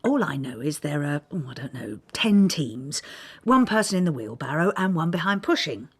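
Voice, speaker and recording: Lively, low-voiced, prim English local historian. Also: female voice, moderate pace, breathy edge, full character voice. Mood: prim, curious. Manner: prim, talkative, fussy, quaint phrasing. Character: chatty, proud small-town local historian, Molly. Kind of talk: naturalistic radio drama acting.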